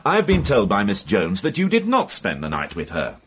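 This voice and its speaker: An actor playing an impatient, sardonic police inspector in a radio mystery drama, questioning people brusquely. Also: male voice, lowish voice, wildly swooping pitch, moderate pace, a bit bright, slight background noise. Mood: brusque, exasperated. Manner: brusque, interrogating, dry sarcasm.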